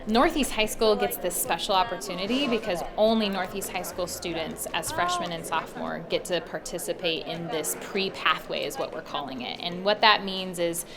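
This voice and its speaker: A woman's voice: fairly low pitch, animated, normal speed, few pauses, a loud crowd. An American woman speaking as an education program coordinator in a promotional interview. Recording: music bed under speech. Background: background music.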